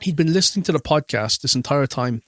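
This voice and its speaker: A man's voice: fairly high pitch, expressive, quick pace, fairly bright tone, dry recording. A man with a Cork Irish accent, co-hosting a film commentary podcast and telling chatty anecdotes. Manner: chatty anecdotal storytelling, laughs often. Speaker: podcast co-host. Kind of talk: casual film commentary chat.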